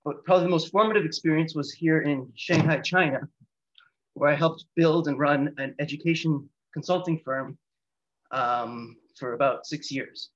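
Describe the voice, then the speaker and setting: Canadian guy in his 30s giving a talk on a video call, slightly high voice, kinda muffled lo-fi sound. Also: normal speed, a bit bright. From Canada, near Toronto. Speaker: guest speaker, patient advocate. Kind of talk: seminar presentation with slides. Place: small room indoors, on a video call. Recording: dull lo-fi video call audio.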